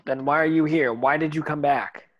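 A man's voice: on the high side, fairly even intonation, normal speed, lo-fi sound, natural voice. A man reading the part of an earnest teenage boy hero at a table read, urgent and clipped. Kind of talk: amateur screenplay table read.

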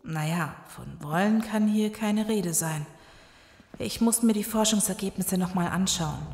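A woman's voice: medium pitch, measured pace, a little mellow, close to an everyday voice. A woman playing an earnest scientist in a German audio drama. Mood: serious. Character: earnest scientist woman explaining a zombie virus. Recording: echoey room sound.

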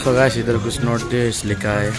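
A man's voice: mid-range voice, little pitch movement, measured pace. A young man vlogging on a walk, talking casually to his viewers about the day and laughing often, filmed on a phone held at arm's length outdoors. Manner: chatty, laughing, addresses viewers as friends. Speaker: travel vlogger. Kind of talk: casual travel vlog. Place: outdoors on busy town streets and at a tourist site. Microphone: phone held at arm's length, selfie. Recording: loud background music over the talk.

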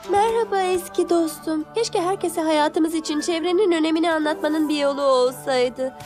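A woman's voice: very high voice, normal speed, darkish tone. A woman playing an earnest, idealistic teenage monster girl activist in a cartoon dub, speaking emphatically. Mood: discouraged. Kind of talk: animated series dub acting. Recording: music behind the voice.

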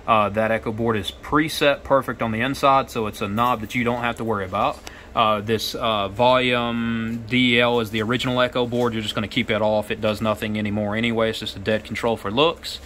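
A man's voice: fairly low pitch, level tone, a little fast, fairly bright tone, slight background noise. A man giving an informal product walkthrough as a radio technician, explaining and rephrasing his points. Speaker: radio technician, shop owner. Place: indoor radio workshop with test equipment.

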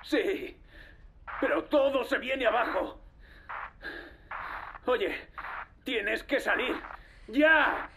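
A man's voice: very high-pitched, extremely slow, creaky, long pauses, noisy background, exaggerated voice. A man playing an urgent, selfless young male survivor over a radio in a dubbed horror game.

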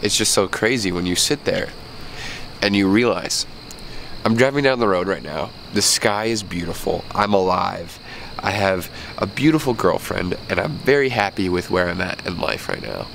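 A man's voice: sits low, expressive, normal speed, vocal fry, fairly bright tone, slight background noise. A young man in his twenties with a stuffed-up nose, talking earnestly and rambling into a handheld camera outdoors at night. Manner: reflective, earnest, rambling with restarts. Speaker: vlogger. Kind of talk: casual vlog talk to camera. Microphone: handheld camera at arm's length. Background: crickets.

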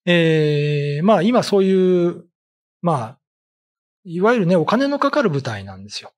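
A middle-aged man with a slightly husky voice, giving informal explanatory commentary in halting, conversational phrases. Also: high-pitched, sing-song. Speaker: ghost story writer and commentator. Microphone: desk condenser mic with pop filter.

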